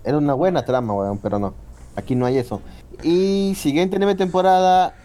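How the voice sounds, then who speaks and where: A man's voice: fairly high pitch, normal speed, mellow. A man hosting a casual chat about new anime, laughing, swearing often and reading out show listings. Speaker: podcast host.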